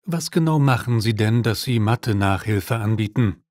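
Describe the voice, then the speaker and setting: Man acting courteous father, lowish dry voice. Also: expressive, moderate pace, darkish tone, no echo, lightly acted. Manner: courteous, businesslike. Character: polite father seeking tutoring for his daughter. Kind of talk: scripted language-lesson dialogue.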